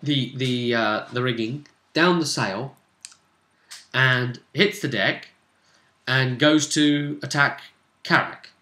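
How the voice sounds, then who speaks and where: Bright-voiced man telling a story, echoey room. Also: mid-range voice, slow. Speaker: game master recapping a campaign session. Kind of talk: casual tabletop game storytelling.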